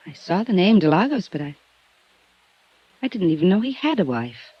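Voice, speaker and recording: A woman's voice: on the low side, sing-song, moderate pace, husky, dry recording. An actress playing a cool, aloof young daredevil woman in a 1950s radio drama. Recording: dull old radio recording.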